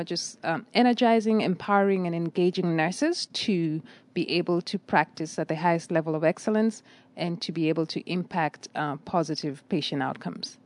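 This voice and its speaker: A middle-aged woman, a nursing director answering interview questions in a measured, articulate way with frequent fillers. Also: fairly low pitch, darkish tone. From Kenya.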